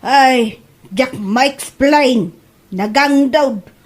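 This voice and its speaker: A high-pitched, fussy mother-in-law acted by a woman in an Ilocano comedy drama. She scolds and complains bluntly in an Ilocano accent, sounding thoroughly fed up. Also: extremely slow, full character voice. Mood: disgusted, complaining.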